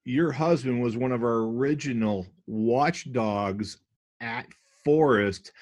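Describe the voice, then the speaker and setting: A man's voice: mid-range voice, slow pace, darkish tone. Upbeat man hosting a school podcast. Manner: upbeat, enthusiastic greeter, loose rambling questions. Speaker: school podcast host, former principal. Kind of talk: casual podcast interview.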